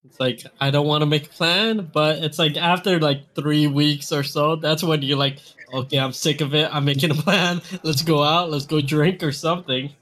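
A young man with a somewhat high voice talking casually on a podcast. He stammers and restarts often, with a giggly, easygoing manner. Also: steady tone, normal speed, hardly any pauses, fairly bright tone. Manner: hesitant, stumbling, giggly. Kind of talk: casual chat.

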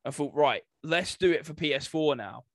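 A man's voice: fairly high pitch, moderate pace, no room sound, clean studio sound. A man chatting casually as a podcast co-host. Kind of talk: casual chat.

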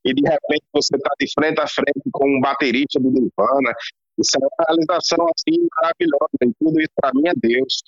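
A man from Rio Grande do Norte with a Northeastern Brazilian potiguar accent, giving long, passionate answers as a guest bass player. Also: animated, a little fast, no room sound, muffled recording. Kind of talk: interview answers.

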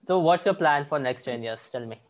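A man in his early twenties with an Indian accent, hosting a casual chat in an informal, halting way. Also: a little high, animated. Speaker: podcast host.

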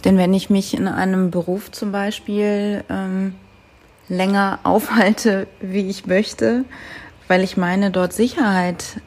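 A woman in her late thirties hosts her own podcast, talking in a relaxed, chatty way full of fillers. Her voice is mellow with a gravelly edge, and she takes her time. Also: mid-range voice, takes it slowly. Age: 30s. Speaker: podcast host. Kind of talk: casual solo podcast talk.

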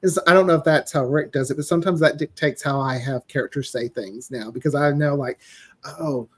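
Higher-pitched man, enthusiastic interview guest. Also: fairly quick, slightly rough. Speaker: guest, audio drama writer. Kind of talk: casual interview chat.

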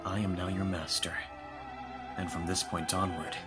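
A man playing a composed vampire lord in an audio roleplay, speaking formally and with calm authority to a servant. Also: sits low, moderate pace, close to an everyday voice. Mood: solemn, persuasive. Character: lordly young-sounding male vampire master, calm and commanding. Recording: music behind the voice. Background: music.

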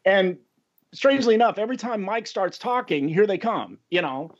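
A man's voice: high voice, animated, moderate pace. A middle-aged American man hosting a casual podcast chat, rambling and chuckling, a little self-deprecating. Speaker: podcast host. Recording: dull lo-fi audio.

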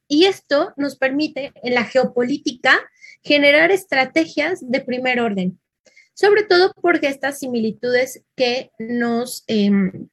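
A woman's voice: high-pitched, fairly bright tone, dry recording. A Mexican woman giving an informal lecture, talking conversationally and thinking aloud with small fillers.

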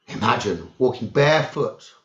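A man leading a guided meditation, giving gentle instructions in short phrases with long pauses, on a muffled recording. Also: mid-range voice, expressive. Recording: muffled, dull lo-fi audio.

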